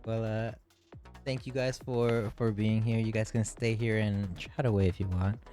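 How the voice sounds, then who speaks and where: Male esports race host, deep voice, loose conversational delivery over music. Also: flat delivery, moderate pace, dark tone. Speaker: race broadcast host interviewing drivers. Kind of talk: casual livestream post-race interview and sign-off.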